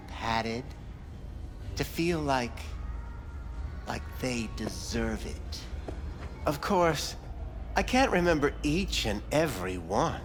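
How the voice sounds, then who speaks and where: Slow, oily, sleazy back-alley surgeon. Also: male voice, fairly high pitch. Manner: smarmy, florid, drawn-out flattering talk. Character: sleazy, oily male back-alley cyber surgeon, leering and self-pitying. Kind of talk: video game character acting.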